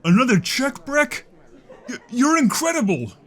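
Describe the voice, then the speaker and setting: An actor playing a smug political speechmaker for an alien rights group in an audio drama. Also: male voice, very high-pitched, very animated, a little slow, a bit bright, exaggerated voice. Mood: effusive.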